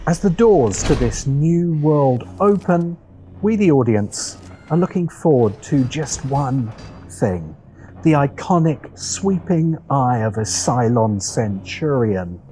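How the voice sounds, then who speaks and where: A man narrating a scripted video essay as host, in a deliberate, wry, opinionated manner with dramatic pauses and emphasis. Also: mid-range voice, lively intonation, dark tone, a little hiss or hum, low-quality recording.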